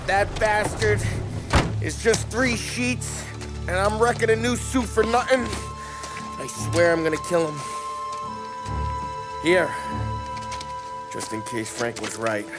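An actor playing a crude, joking 1930s mobster in a video game cutscene. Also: male voice, sits high, expressive, slow pace, long pauses, loud music behind the voice. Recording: game audio over loud score.

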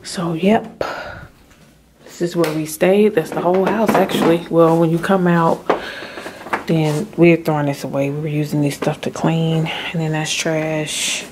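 Lady vlogging a house tour on her phone, lowish voice, bit of hiss behind. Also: flat delivery, unhurried. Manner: chatty, relieved, addresses viewers as guys and y'all. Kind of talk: casual house tour vlog. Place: empty house, rooms and basement. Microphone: handheld phone camera.

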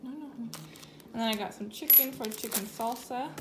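A woman in her thirties, a mother casually listing her groceries in a relaxed, chatty way, filmed on a phone in her home kitchen. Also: on the high side, a little slow. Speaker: mother filming a grocery haul. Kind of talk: casual grocery haul narration. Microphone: phone camera held over counter. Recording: noisy home phone recording. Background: loud background noise, kids talking.

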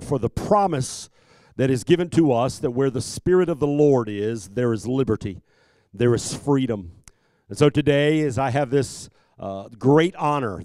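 A man in his fifties preaching a sermon as a pastor, speaking emphatically into a handheld microphone with deliberate repetition. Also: medium pitch, darkish tone, no room sound. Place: church sanctuary stage at a pulpit. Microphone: handheld microphone held close.

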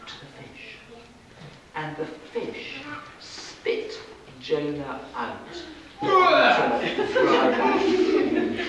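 Echoey, animated male storyteller, slow and deliberate. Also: a little high. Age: middle-aged. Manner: dramatic, deliberate, with pauses. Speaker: storyteller at a children's puppet show. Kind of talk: scripted storytelling read aloud to children. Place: children's classroom-like room, indoors. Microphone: far camera. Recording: echoey room, distant camera mic, noisy.

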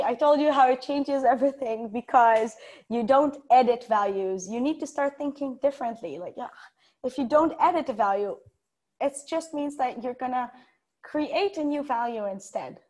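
A woman's voice: high voice, moderate pace, darkish tone. A young woman giving an informal tech talk over a video call, chatty, giggly and self-deprecating. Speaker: conference speaker, software developer. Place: indoors, room with bookshelves.

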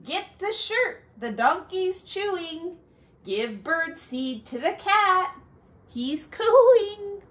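A woman voicing a bossy male rooster who gives loud farm orders in a children's storybook. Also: extremely high pitch, very animated, drawn-out words, voice pushed up, full character voice. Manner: bossy, commanding.

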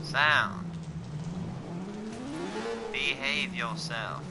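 Tired male streamer over loud game noise. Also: medium pitch, animated, extremely slow. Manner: mumbling, bursts of exclamations at the game. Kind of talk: casual gameplay commentary.

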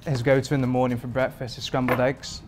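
Relaxed English chef, flat low-key voice. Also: male voice, quick pace. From England. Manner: relaxed, rambling with many fillers. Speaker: performance chef. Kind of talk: interview answers. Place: modern home kitchen indoors.

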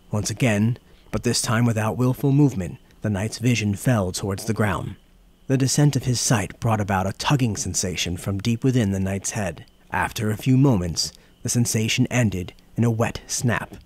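A man narrating a scripted dark fantasy audiobook in a solemn, dramatic storytelling manner. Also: on the low side.

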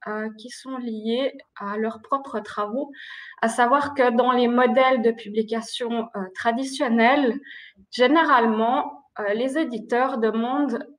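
A woman, a library director answering on a video call panel in a structured, measured way. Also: a little high, fairly even intonation, low-quality recording. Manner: structured, measured, some fillers. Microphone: video call, laptop webcam.

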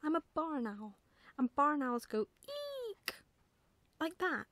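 Woman voicing an earnest, indignant young owl, high-pitched. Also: animated, a little slow, no echo. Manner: childlike questioning, indignant protests. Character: young male barn owl, earnest, naive, easily cross.

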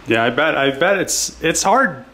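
A man's voice: on the high side, expressive, moderate pace, sharp tone, a little hiss or hum, poor audio. Easygoing man in his thirties, vlogging. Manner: easygoing, conversational, with fillers. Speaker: YouTube host. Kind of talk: casual vlog talk. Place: car garage, then indoors at home.

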